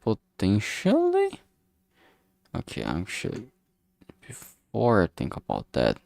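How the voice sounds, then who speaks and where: A streamer talking casually while working, thinking aloud and muttering in a halting way. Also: low-pitched, huge pitch swings, creaky, a little mellow, no echo, studio quality.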